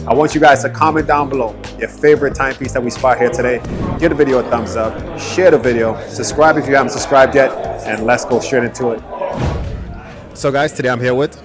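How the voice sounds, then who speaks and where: Upbeat male watch vlogger with music behind. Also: medium pitch. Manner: upbeat, addresses viewers directly, friendly interviewer. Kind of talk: casual vlog interview. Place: busy indoor event.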